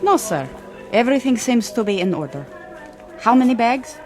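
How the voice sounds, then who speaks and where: An actress playing a polite airline check-in agent in a radio drama, formal, clipped and businesslike. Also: female voice, on the high side, very animated, moderate pace, music in the background.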